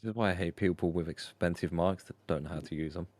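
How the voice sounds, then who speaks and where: A man's voice: deep voice, fast talker, a little mellow, no echo, studio quality. A British man bantering casually with friends during a game stream, teasing and argumentative. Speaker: gamer friend on a voice call.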